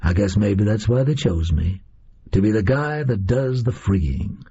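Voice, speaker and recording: A male reader voicing a disillusioned, boastful gunman in an audio story, with a high, reedy voice and folksy, slangy delivery. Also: expressive, a little slow, dark tone, slightly rough, muffled recording, exaggerated voice. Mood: rambling, confiding.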